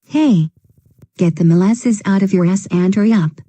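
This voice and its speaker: A woman's voice: medium pitch, normal speed, warm tone, no room sound, lightly acted. A woman playing an impatient, crude, bossy wife in scripted drama, demanding and abrasive. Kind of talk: scripted domestic drama dialogue.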